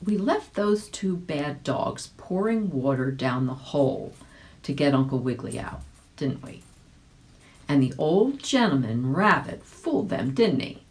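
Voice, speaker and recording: A woman with a low voice narrates a children's storybook. She reads in a chatty way, slipping in casual asides and small questions to the listener. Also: sing-song, unhurried, a little mellow. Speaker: volunteer storybook reader.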